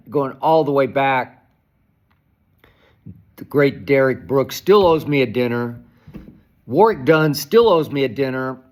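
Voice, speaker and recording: A man hosting a sports talk show, ranting with exasperation, often stopping and restarting. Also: medium pitch. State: hoarse, losing his voice. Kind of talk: animated solo sports commentary.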